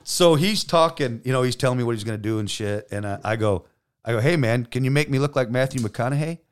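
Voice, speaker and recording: A man with a deep, loud voice telling stories casually in a studio, joking and swearing as he acts out bits of dialogue. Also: fast, smooth. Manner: joking, profane, frequent quoted dialogue. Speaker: podcast guest, injured police detective. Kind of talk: casual storytelling. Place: podcast studio.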